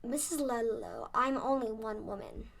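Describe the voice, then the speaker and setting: A woman's voice: on the high side, big pitch swings, unhurried, gravelly, a little hiss or hum, heavily acted. A girl of about ten playing a proper, composed woman shop owner in a school radio play, speaking formally. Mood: polite, composed. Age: child of about ten.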